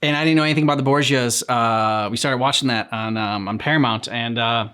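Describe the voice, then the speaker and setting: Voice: medium pitch, fairly quick, fairly bright tone, clean tone. A reaction channel host chatting casually in an informal, slangy way.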